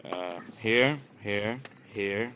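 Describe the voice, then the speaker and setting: A low-voiced American male police detective from a 1950s radio drama, wry and roundabout, handing out hints piece by piece. There is faint hiss on the old recording. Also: extremely slow, pushed and bright, muffled recording, exaggerated voice. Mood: methodical, knowing. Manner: drawling out hints, tagging questions with huh.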